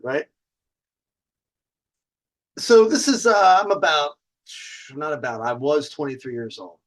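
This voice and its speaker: A man hosting a show with a casual storytelling monologue, delivered in a swaggering, showman-like way. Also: a little high. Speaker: host.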